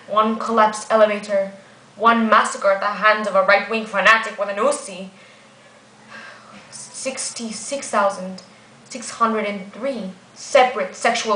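An ancient guardian angel, acted out by a woman in a theatrical stage monologue. She is grave and weary yet resolute, speaking in a mid-pitched voice with deliberate pauses. The room rings with clear echo. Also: bright, forceful tone. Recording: echoey room.

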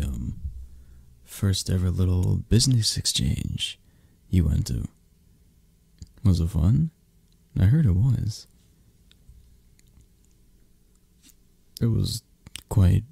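A man playing a possessive, menacing mafia boss lover in an ASMR roleplay, with a breathy, gravelly voice and a halting, condescending manner. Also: sits low, warm tone, no echo, heavily acted. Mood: feigned calm. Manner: slow, halting, controlling, condescending. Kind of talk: ASMR roleplay, intimate close-up acting.

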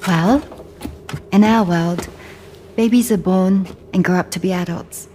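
Actress as gentle young mom-to-be in a game, soft mellow tone, takes her time. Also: on the low side, unhurried, slight background noise, close to an everyday voice. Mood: gentle, hopeful. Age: young adult. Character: gentle, reassuring young expectant mother. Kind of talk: video game cutscene acting.

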